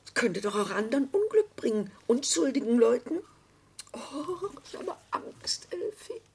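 Anxious, husky young woman. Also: a little high, big pitch swings, measured pace, a little mellow, heavily acted. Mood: fearful, pleading. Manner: hesitant, troubled, theatrical. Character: anxious, conscience-stricken young woman grieving her brother. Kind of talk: radio play acting.

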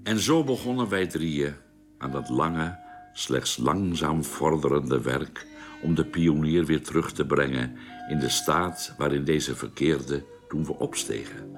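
An actor playing a sober spaceman who narrates his expedition in a radio drama with measured, formal storytelling. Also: very deep voice, soft background music. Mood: sober, reflective.

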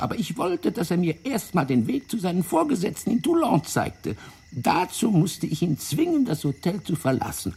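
A fat, scheming intelligence officer in a radio drama. The voice is very husky and full of gravelly creak, swooping wildly as he lectures with theatrical condescension. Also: a little high, darkish tone, heavily acted. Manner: theatrical, condescending, drawn-out explaining. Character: fat, wily, self-righteous male French intelligence officer. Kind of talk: German radio drama acting.

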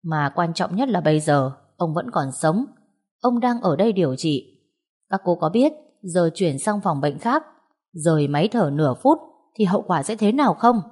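A woman voicing a calm, steady young husband in an audio story, speaking evenly and firmly. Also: on the low side, moderate pace, slightly rough. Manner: even, calm, firm.

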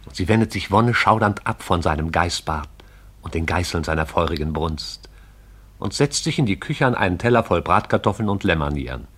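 An actor as the narrator of a poetic radio drama, telling it in a lyrical, wry storytelling manner. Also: male voice, low-pitched, animated, a little fast, fairly bright tone.

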